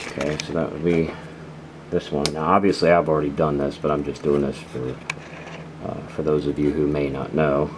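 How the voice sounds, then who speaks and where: An extremely low-pitched man hosts a casual product demonstration. He explains things in an unhurried, halting way, piece by piece. The recording carries clear background noise. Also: flat delivery. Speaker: host of a radio gear channel.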